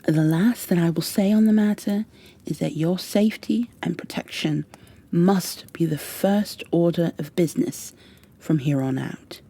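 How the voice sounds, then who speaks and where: A woman playing a stern high elf warrior in an ASMR roleplay, with formal, commanding, impatient phrasing and a soft, breathy delivery that often drops toward a whisper. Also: measured pace. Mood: stern, scornful. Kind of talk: ASMR roleplay, scripted in-character monologue.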